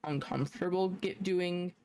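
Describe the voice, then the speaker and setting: Gravelly young woman, rambling chat. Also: fairly low pitch, steady tone, moderate pace, warm tone. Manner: rambling, fillers like "like". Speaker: co-host. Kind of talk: casual livestream chat.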